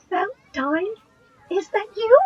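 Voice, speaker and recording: A woman's voice: very high voice, lo-fi sound, a little hiss or hum, heavily acted. A woman playing an earnest, tender magic-user in an audio drama, speaking in a hesitant way. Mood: curious.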